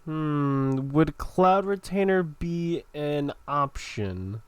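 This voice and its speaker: A woman's voice: low-pitched, animated, very slow, no room sound. A woman playing a video game, giving casual, chatty commentary as she goes.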